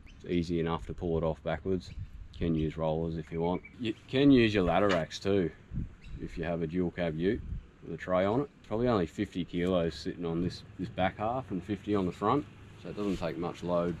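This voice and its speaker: A man in his thirties with an Australian accent, casually walking through a homemade build outdoors with relaxed, practical talk. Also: sits low, fairly quick. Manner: relaxed, practical, with frequent fillers. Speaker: vlogger. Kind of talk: casual how-to walkthrough. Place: outdoors in a grassy bush camp. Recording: outdoor noise behind the voice.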